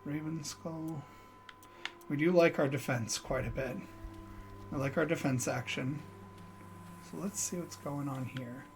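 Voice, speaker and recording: A man's voice: fairly high pitch, a little slow, long pauses, a little hiss or hum. A man giving casual, self-deprecating and hesitant commentary as he streams a game.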